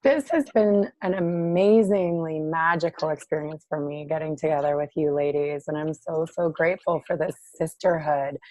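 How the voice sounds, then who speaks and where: Low, froggy-voiced woman. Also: dark tone, dry recording, rough recording. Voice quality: froggy, hoarse voice. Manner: heartfelt, effusive. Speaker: podcast co-host. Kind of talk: casual chat.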